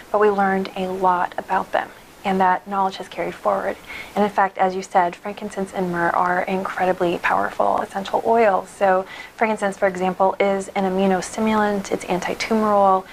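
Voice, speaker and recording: A woman's voice: mid-range voice, gravelly, slight background noise, low-quality recording. A woman guest on an interview show, an intuitive healer explaining things in an informative way. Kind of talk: interview answers.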